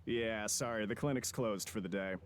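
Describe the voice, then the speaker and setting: An actor playing a dismissive, smug clinic gatekeeper in a video game, casual and condescending. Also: male voice, lowish voice, normal speed, clean tone, soft background music, natural voice.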